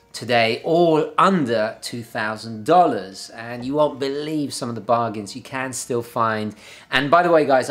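An enthusiastic young man talks straight to the camera from his desk in a home office. His delivery is chatty and loose, full of fillers and quick restarts. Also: mid-range voice, a bit bright. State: slightly hoarse, clearing throat. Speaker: watch channel host. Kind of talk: casual video review. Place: home office room with a map on the wall.

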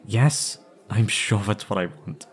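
A young man acting an anxious, wistful former captive in a scripted roleplay audio drama, speaking hesitantly and haltingly. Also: on the low side. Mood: defensive, uneasy.

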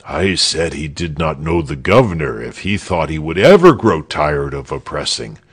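A man's voice: a bass voice, sing-song, normal speed, breathy edge, pitched below the performer's own voice, full character voice. A man voicing an earnest, slightly indignant male village spokesman recounting a visit.

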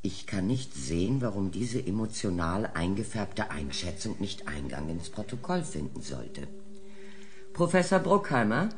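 A male commission chairman in an audio drama. His low voice moves widely in pitch. He is formal, dry and firmly in charge. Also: very animated, a little slow, a little hiss or hum. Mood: dryly firm. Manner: formal, dryly controlling. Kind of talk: German audio drama acting.

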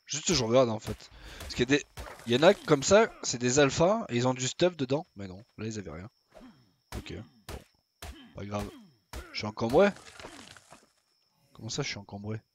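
A man's voice: mid-range voice, expressive, normal speed, pauses often, slight background noise. A young man casually commenting on his own gameplay as he plays, chatty and swearing now and then. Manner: chatty, swears casually, talks to himself while playing. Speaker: gaming YouTuber.

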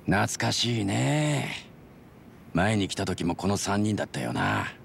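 A laid-back, world-weary tough guy in a game drama. His very low voice is slow and creaky, with gruff, casual delivery. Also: sing-song, slight background noise, exaggerated voice. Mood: dry, unhurried. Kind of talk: dramatic video game voice acting.

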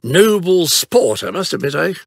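An actor playing an elderly, prim English butler in a video game, with a raspy, creaky British voice and a formal, fussy, drily understated manner. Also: male voice, fairly high pitch, sing-song, normal speed, dry recording, clean studio sound, full character voice. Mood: polite, chatty. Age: older. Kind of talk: scripted video game character lines.

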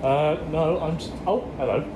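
A man's voice: a little high, moderate pace, very noisy. Hesitant man, echoey train carriage. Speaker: vlogger behind the camera. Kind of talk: casual chat. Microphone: phone held while filming. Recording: echoey phone audio, chatter behind.

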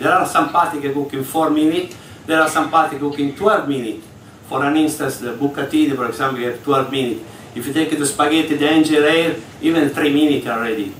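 A man with a fairly high voice walks an audience through a recipe on stage, in patient, slightly broken English. The sound is caught from far away in a large reverberant room, with faint background noise. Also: a bit bright. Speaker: executive chef. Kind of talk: live cooking demonstration. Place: large hall on a ship, in front of an audience. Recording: echoey hall from far off.

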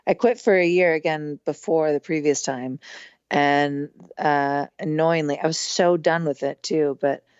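A woman in her thirties with a hoarse, strained voice, chatting casually as a podcast guest with lots of fillers. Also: low-pitched, fairly even intonation, a little slow, a little mellow, no echo, dull audio. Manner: chatty, rambling with many fillers. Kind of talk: casual conversation.

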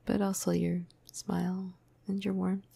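A woman playing a flirty, cuddly vampire crush in a breathy, casual ASMR roleplay, half-whispering close to the microphone. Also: medium pitch, flat delivery, unhurried, mellow, dry recording, exaggerated voice. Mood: flirty, fond. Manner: casual, playful, hesitant, breathy murmur.

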